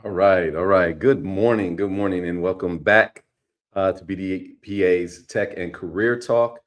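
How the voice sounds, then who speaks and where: A man from California co-hosting an online talk, enthusiastic and energetic, often repeating his words. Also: sits low, measured pace. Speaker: co-host, interviewer. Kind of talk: live online interview.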